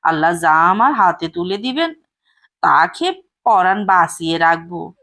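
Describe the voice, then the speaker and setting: A woman voicing a humble, honest male farm laborer who speaks softly and pleadingly. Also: on the low side, animated, measured pace, voice pushed down. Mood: earnest. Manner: pleading, soft-spoken.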